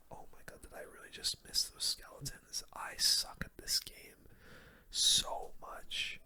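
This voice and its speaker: A person giving casual, slangy gaming commentary in a soft, breathy ASMR whisper, close to the microphone. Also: extremely high pitch. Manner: casual slangy gamer talk, reacting to play. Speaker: gaming video host.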